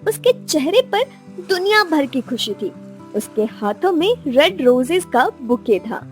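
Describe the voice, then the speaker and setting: A woman's voice: high voice, big pitch swings, measured pace, soft background music. A woman narrating a scripted romance story in a dramatic style.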